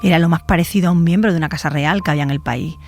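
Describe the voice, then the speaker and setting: A woman's voice: lowish voice, rapid-fire, a little mellow. A woman presenting scripted documentary commentary as a journalist. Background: faint music.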